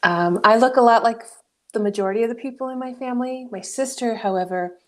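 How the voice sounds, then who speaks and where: A woman in her forties from New Mexico, a guest coach telling her life story in an interview, reflective and animated, often laughing. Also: on the high side, fast talker. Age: 40s.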